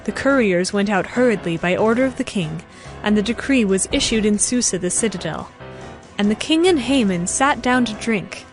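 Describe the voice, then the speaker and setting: A woman narrator reading scripted Bible narration in a measured, solemn storytelling manner. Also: medium pitch, lively intonation, faint music behind.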